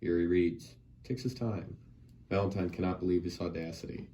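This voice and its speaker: A man's voice: low-pitched, steady tone, moderate pace, mellow. A man reading stage directions as scripted narration at a screenplay table read. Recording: distant mic, background noise.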